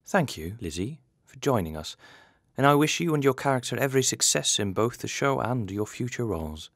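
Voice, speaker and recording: A man's voice: medium pitch, sing-song, brisk, fairly bright tone, dry recording, studio quality. A man hosting an interview, reading out scripted questions. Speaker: interviewer and host.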